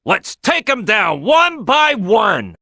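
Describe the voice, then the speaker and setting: An actor playing a big, brash crocodile detective in a video game, a gruff, gravelly team leader who is loud and bossy. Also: male voice, sits high, big pitch swings, takes it slowly, no echo, studio quality, full character voice. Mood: fired up. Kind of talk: video game character acting.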